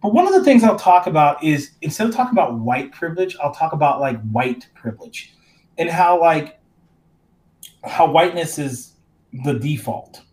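An animated male professor on a podcast, talking with a fairly high voice. He walks through ideas the way he would in a classroom, posing questions back to the other speaker and sprinkling in casual slang. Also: normal speed, low-quality recording. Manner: animated, explains ideas like a teacher, says "dude" and "man". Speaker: college professor.

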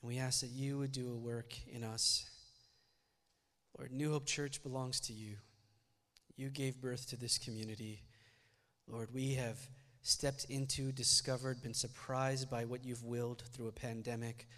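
A young man preaching a Sunday sermon as pastor, his first language Malayalam, speaking in an earnest, conversational way that grows more energetic as he preaches. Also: mid-range voice, unhurried. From United States. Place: church sanctuary. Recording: church hall reverb.

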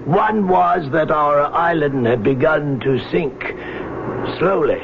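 An actor playing a grave, imperious autocrat of sunken Atlantis in an old radio drama, with stately, formal, declamatory phrasing. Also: male voice, a little high, takes it slowly, vocal fry. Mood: solemn. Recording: muffled, noisy old radio broadcast recording.

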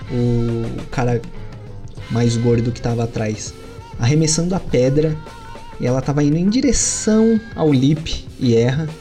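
A man with a Brazilian Portuguese accent, running a tabletop role-playing session as game master and telling the scene with lively storytelling. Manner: animated storytelling with sudden lifts in pitch.

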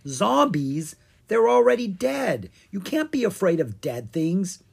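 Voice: mid-range voice, lively intonation, measured pace, a little mellow, slightly rough, pitched above the performer's own voice. A storybook reader voicing a cheeky, hungry young boy dragon. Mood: teasing, bold. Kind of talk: animated children's storybook character reading.

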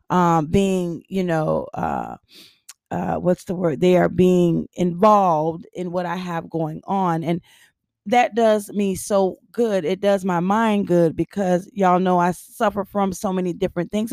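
A hoarse older woman hosting a casual motivational podcast, her voice husky and raspy, chatty with lots of ums and easy laughs. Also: mid-range voice, dry recording, studio quality. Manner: chatty, laughs often, many ums and uhs. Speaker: podcast host. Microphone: podcast microphone.

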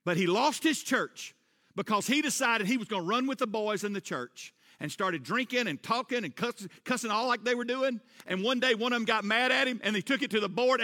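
A middle-aged man preaching a sermon, rising into loud emphatic refrains and dropping back to a quieter tone. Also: high-pitched. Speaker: pastor. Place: church sanctuary. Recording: long church reverb.

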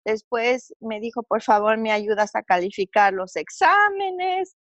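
A woman's voice: fairly high pitch, expressive, unhurried, a bit bright, no echo, lo-fi sound. Woman, middle-aged, Mexican Spanish accent, earnest, reflective, fillers and restarts, dry recording. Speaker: interview guest. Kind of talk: conversational interview storytelling.

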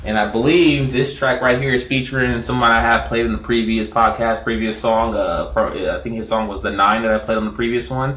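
A man's voice: medium pitch, fairly even intonation, brisk, few pauses, a bit bright, slight background noise. A man hosting a music podcast in a casual, slangy, profane and repetitive way. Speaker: podcast host.

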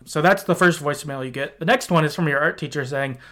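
Male podcast host, higher register, conversational and halting, informal game discussion. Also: bright, forceful tone. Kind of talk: casual video game discussion.

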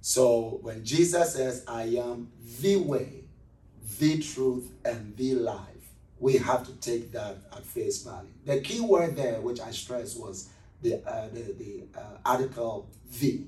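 A roomy recording, set back from the microphone, carries a man's voice with a Ghanaian accent. He is a middle-aged pastor with an average-pitched voice, answering in a conversational interview style. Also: slow pace, mellow. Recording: roomy echo, distant microphone.

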